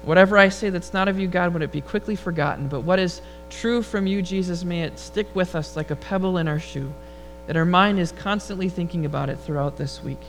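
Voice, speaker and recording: A man with a high, rather feminine-sounding voice, preaching a relaxed, humorous sermon and leading prayer before a congregation. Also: fairly quick, few pauses, faint music behind, poor audio. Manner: relaxed, storytelling delivery with humor. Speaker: pastor. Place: church sanctuary before a congregation.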